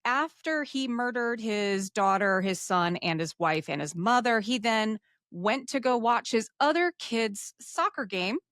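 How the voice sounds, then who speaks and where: A woman's voice: on the high side, animated, a little slow, few pauses, fairly bright tone, no echo, clean studio sound. A woman co-hosting a true crime podcast, telling stories in a casual, informal, darkly joking way. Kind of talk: casual conversational storytelling.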